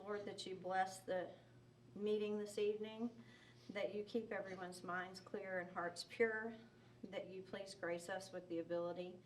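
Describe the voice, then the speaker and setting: A woman's voice: lowish voice, recorded from a distance, rough recording. Woman offering an earnest invocation, slightly off-mic with faint noise behind. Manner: earnest, measured cadence. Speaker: board member. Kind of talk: public prayer. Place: public meeting room.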